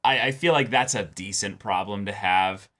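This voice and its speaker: A man with a fairly low yet bright, forward voice chats casually as a show co-host. He makes his points emphatically, often repeating a phrase while he builds up to them. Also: moderate pace. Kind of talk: casual TV episode discussion.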